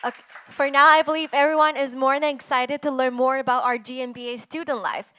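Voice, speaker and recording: A woman's voice: sits high, fairly even intonation, fairly quick, sharp tone, clean tone, some chatter behind. A woman acting as MC at an event, making polite, formal introductions. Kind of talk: event hosting.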